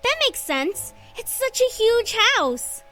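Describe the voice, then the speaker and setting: A woman's voice: very high voice, sing-song, takes it slowly, a bit bright, exaggerated voice. A woman voicing a sweet, polite young best friend in a visual novel, with music behind her. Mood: friendly, curious.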